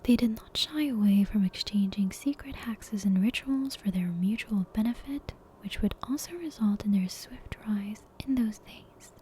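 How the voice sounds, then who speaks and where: A woman reading a bedtime story for ASMR in a breathy, unhurried whisper, soft and hushed. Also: medium pitch, slight background noise. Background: soft game soundtrack ambience.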